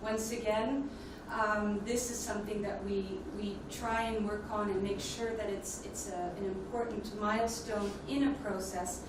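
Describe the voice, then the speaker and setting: A woman giving a prepared conference presentation as a speaker on leadership development, in a measured, explanatory way. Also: mid-range voice, steady tone. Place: indoor conference plenary room. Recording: echoey hall, distant mic, noisy ambience.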